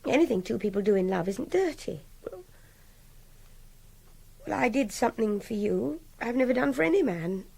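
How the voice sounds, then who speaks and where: An actress playing an articulate young captive in a radio drama, earnest and emotionally direct with a breathy voice. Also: female voice, fairly high pitch, lively intonation, normal speed, pauses often, darkish tone, heavily acted. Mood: frustrated, reasoning. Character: captive young woman, articulate, pleading, frustrated. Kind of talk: intimate radio drama dialogue.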